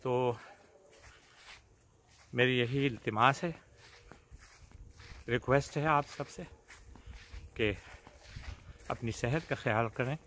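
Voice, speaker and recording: Older male vlogger, very slow casual delivery, phone held outdoors with faint background noise. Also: mid-range voice, a bit bright. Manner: leisurely, pausing, addressing viewers directly. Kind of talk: casual chat to camera. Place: outdoors in a suburban backyard. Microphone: phone at arm's length.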